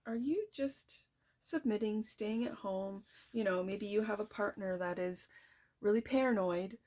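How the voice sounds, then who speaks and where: Soft-spoken woman giving a chatty tarot reading. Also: medium pitch, a little slow. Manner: chatty, reflective, addresses the viewer directly. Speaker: tarot reader. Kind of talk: casual tarot reading to viewers.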